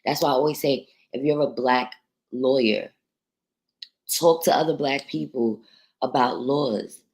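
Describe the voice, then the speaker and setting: A woman from New York with a naturally deep voice hosts a casual livestream. She talks bluntly and swears freely, reading viewer comments aloud and answering them in an easy conversational flow. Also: unhurried, darkish tone. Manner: blunt, profane and outspoken. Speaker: livestream host.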